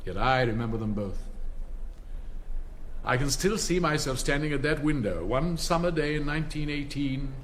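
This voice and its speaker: An actor playing an elderly, pompous public-school headmaster with an upper-class English accent, declaiming ceremonially in a radio drama. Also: male voice, mid-range voice, animated, normal speed, fairly bright tone, a little hiss or hum, lightly acted. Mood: solemn, nostalgic. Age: older. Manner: pompous, declamatory, sonorous oratory with stern asides.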